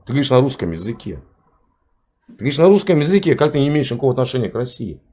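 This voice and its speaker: A man in a heated argument whose medium-pitched voice jumps around a lot. He mocks the other speaker and keeps pressing her with the same questions. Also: sing-song, racing, mellow. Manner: confrontational, mocking, presses with repeated questions.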